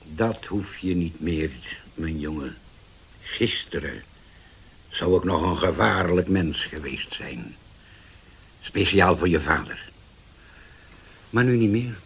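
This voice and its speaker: An actor playing a solemn older uncle in a 1970s radio drama, speaking slowly and deliberately with a husky voice that sinks to near-whispers. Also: male voice, sits low, expressive, a bit bright, exaggerated voice. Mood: grave. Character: old uncle, formerly dangerous, now solemn and changed.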